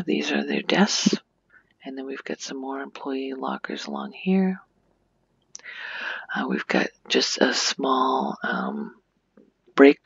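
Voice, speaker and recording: A soft, breathy woman gives an unhurried ASMR game tour, often dropping near a whisper. Her voice has a creaky edge, and the close, dry recording is slightly muffled. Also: rumbling low, no room sound. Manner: gentle, unhurried, hushed. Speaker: video creator giving a game tour.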